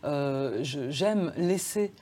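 A woman born in France, a crime novelist guest, answering interview questions thoughtfully and softly, pausing to search for words. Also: sits low, lively intonation. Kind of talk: reflective studio interview talk. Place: TV studio.

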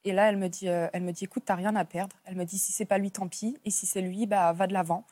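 A woman's voice: medium pitch, fairly even intonation, quick pace, mellow, no room sound. A young adult woman, casually telling a personal story as a talk show guest. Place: TV studio.

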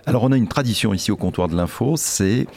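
A man's voice: lowish voice, quick pace, gravelly, darkish tone. A man hosting an interview, asking questions with hesitations and small stammers. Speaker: interviewer, host. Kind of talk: conversational interview.

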